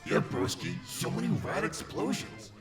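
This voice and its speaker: A man's voice: sits high, huge pitch swings, moderate pace, vocal fry, exaggerated voice. A man voicing a dopey, earnest teenage werewolf jock with enthusiastic bro slang in an amateur game dub. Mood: excited. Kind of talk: amateur visual novel dub. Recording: loud music behind the voice.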